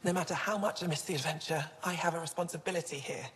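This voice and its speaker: A woman's voice: low-pitched, quick pace, slight background noise, lightly acted. A woman playing an earnest, scholarly young alien museum curator in a video game. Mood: wistful, hopeful. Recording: long hall reverb.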